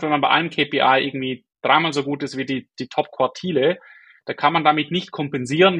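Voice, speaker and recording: A man's voice: medium pitch, fairly bright tone, breathy edge. A man from Switzerland with a Swiss German accent, a startup founder answering interview questions and thinking aloud as he goes. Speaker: guest, startup co-founder and CEO.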